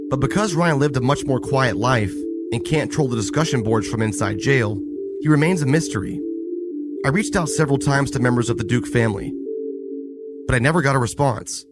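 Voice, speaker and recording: A young man hosting a podcast, narrating a scripted story in a measured, matter-of-fact way. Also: mid-range voice. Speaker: podcast host.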